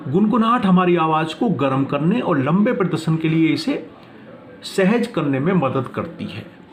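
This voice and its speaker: A man's voice: a little high, a little hiss or hum. A man teaching a lesson from notes, reading out points one by one and explaining them in an instructional way. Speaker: teacher presenting class notes.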